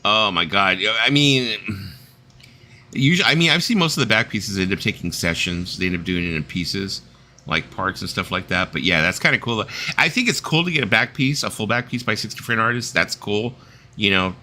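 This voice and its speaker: A man's voice: fairly low pitch, expressive, a little fast, bright, forceful tone, a little hiss or hum. A man in his fifties hosting a casual stream, telling loose, rambling stories with some swearing. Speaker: stream host. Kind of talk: casual chat.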